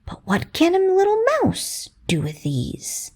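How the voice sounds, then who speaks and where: Woman voicing a startled little storybook mouse, high-pitched. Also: huge pitch swings, a little slow, a little mellow, exaggerated voice. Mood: puzzled. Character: little mouse, puzzled, timid and easily startled. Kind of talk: children's storybook character voice.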